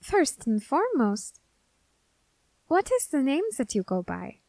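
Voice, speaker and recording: A woman's voice: high voice, wildly swooping pitch, a little mellow, dry recording, full character voice. A woman playing an eager, curious young Grim Reaper scholar in an ASMR roleplay, fascinated and politely menacing. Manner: fascinated, deliberate, politely threatening. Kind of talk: ASMR roleplay addressed to the listener.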